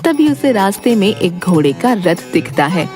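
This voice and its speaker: A woman's voice: mid-range voice, very animated, unhurried, darkish tone, faint music behind. A woman narrating a scripted children's folk story.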